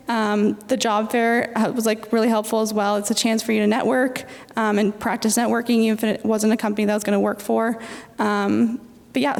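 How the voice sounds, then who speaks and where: A woman's voice: a little high, a little mellow. A senior student in her twenties from Chile, speaking conversationally with frequent fillers on a panel. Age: 20s. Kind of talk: panel discussion. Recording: long hall reverb.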